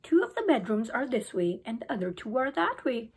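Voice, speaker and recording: A woman reading aloud, voicing a patient father who gently coaxes his daughter. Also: a little high, big pitch swings, fairly quick, husky, heavily acted. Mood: calm. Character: calm reassuring father.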